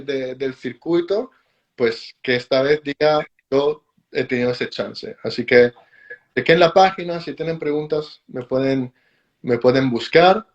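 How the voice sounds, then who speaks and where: A man joining a live-stream chat as a guest, pitching enthusiastically and persuasively. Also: dull audio.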